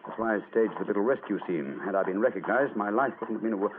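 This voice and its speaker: An actor playing an urbane, self-assured English detective in a radio drama. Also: male voice, fairly low pitch, lively intonation, fast talker, natural voice. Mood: brisk amusement. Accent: British. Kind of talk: old radio drama acting. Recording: tinny, noisy old radio recording.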